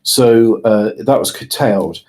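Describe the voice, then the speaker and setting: A man's voice: on the low side, a little slow, a little mellow. A man co-hosting a podcast in casual conversation, explaining his views and acting out imagined quotes as he goes. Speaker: podcast co-host.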